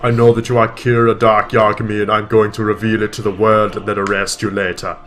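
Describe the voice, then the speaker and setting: A man's voice: on the low side, level tone, fairly quick, a bit bright, lightly acted. A man voicing a possessed mother who speaks in a strange, solemn voice as an ominous detective.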